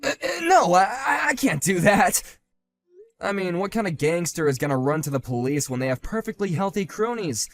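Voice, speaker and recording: A man voicing a hotheaded bully husband who shouts, whines and stammers when cornered, in a one-man voiced drama. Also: on the high side, animated, fast, few pauses, no echo, faint music behind, lightly acted. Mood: flustered. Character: hotheaded male bully husband, panicky and blustering.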